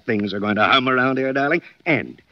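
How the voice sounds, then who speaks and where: An older actor playing a crafty, excitable wealthy diamond seller in 1940s radio drama style, with muttered asides. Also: male voice, fairly low pitch, moderate pace, no echo, natural voice. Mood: gloating. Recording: dull lo-fi 1940s radio recording.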